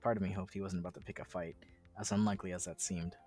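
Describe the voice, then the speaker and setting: Casual male co-host, lowish voice, reading visual novel narration with informal interjections, music faintly behind. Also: fairly even intonation, racing, mellow. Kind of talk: casual read-aloud with side commentary.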